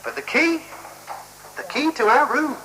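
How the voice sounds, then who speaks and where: An actor playing a patient, naively curious male companion in a 1970s radio play, deliberate, repetitive and questioning, on an old radio recording. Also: extremely high pitch, animated, bright, forceful tone, full character voice, poor audio. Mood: childlike, musing. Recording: hissy, humming 1976 radio recording.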